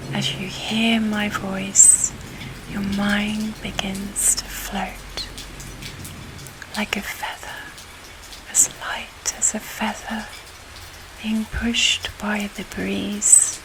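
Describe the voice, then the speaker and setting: A woman guiding a relaxation hypnosis session in a soothing, hushed and breathy voice with long pauses. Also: medium pitch, in a whisper, low-quality recording. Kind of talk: guided relaxation hypnosis, ASMR-like. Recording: steady rain and thunder behind voice. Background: rain and thunder soundscape.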